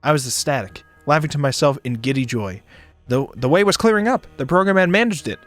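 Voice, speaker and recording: Guy narrating a visual novel on stream, expressive and dramatic, bit of music under it. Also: on the high side, a little fast. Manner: expressive, stumbles and rereads lines. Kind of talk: dramatic narration reading with joking asides. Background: music behind the voice.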